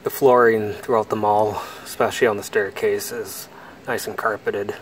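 Low, flat-voiced man touring a mall. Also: slight background noise, rough recording. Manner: relaxed, unhurried commentary with pauses. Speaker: mall explorer vlogger. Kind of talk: walking tour narration. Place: large indoor mall, mostly empty.

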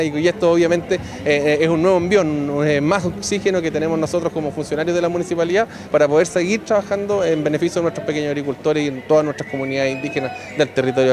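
A Chilean man, a municipal official, giving a quick outdoor interview into handheld mics with many fillers. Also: a little high. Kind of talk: on-camera interview. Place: outdoor fair grounds. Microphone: handheld news mics.

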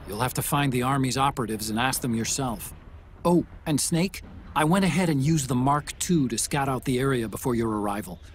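An actor playing a nerdy engineer giving a technical mission briefing over a radio link in a video game. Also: male voice, medium pitch, brisk. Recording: game audio with steady noise.

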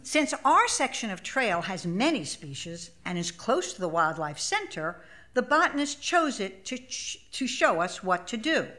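Older woman reading, lively swooping pitch. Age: 70s. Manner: measured, expressive, wry delivery. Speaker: author reading own essay. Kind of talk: literary essay reading for a live audience. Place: small theater stage, live event. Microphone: stage microphone.

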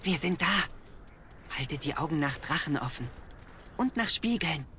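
A man's voice: a little high, animated, normal speed, bright, forceful tone, slight background noise. An actor playing a wry male mage companion in a fantasy game, speaking in a dry, sardonic manner.

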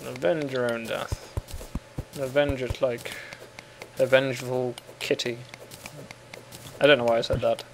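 A man's voice: medium pitch, level tone, a little slow, gravelly, pauses often, noisy background. Giggly English guy gaming. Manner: giggly, joking, rambling. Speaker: co-host playing a game. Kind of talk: casual gaming chat.

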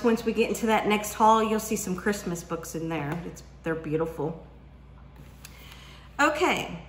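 A woman's voice: medium pitch, a bit bright, a little hiss or hum. A woman, chatting casually to camera about books from her home kitchen and drawing out her questions in a teasing way. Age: 40s. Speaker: book vlogger. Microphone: camera at desk distance. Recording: roomy kitchen echo.

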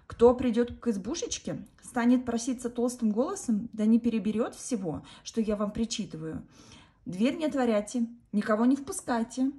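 A loving mother goat from a children's tale, voiced by a woman. She draws out her words in a slow, lilting chant, pitched a little above the storyteller's usual high voice. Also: animated, dark tone, natural voice. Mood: tender sing-song. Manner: sing-song chanting, drawn-out. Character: caring mother goat, tender then grieving.

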